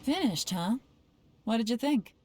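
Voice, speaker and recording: An actress playing a composed woman official who runs an assessment in a measured, businesslike way. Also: fairly high pitch, expressive, very noisy. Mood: coy.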